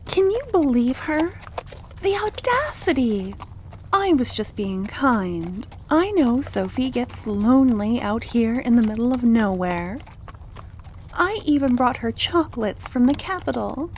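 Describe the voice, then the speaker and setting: A woman's voice: fairly high pitch, very animated, unhurried, a little mellow, slight background noise. A woman voicing a jealous, possessive necromancer girlfriend in an ASMR roleplay, venting with theatrical indignation. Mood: indignant, jealous.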